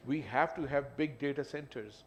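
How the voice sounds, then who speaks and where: A man's voice: mid-range voice, muffled recording. A man with a Pakistani English accent, speaking on a business panel and often saying "you know" as he halts. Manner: halting, with frequent "you know". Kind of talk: panel discussion. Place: event hall. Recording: faint background noise.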